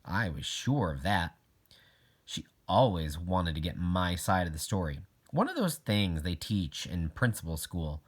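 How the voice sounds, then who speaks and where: A man's voice: sits low, sing-song, unhurried, breathy edge. A man reading a children's novel aloud as a first-person narrator. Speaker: audiobook reader.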